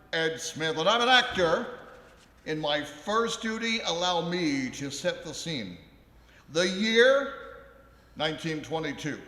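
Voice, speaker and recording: A man playing a theatrical 1920s actor who narrates a comic live radio play in a declamatory way with deliberate pauses. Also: fairly high pitch, sharp tone, exaggerated voice. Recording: live in echoey ballroom, long reverb.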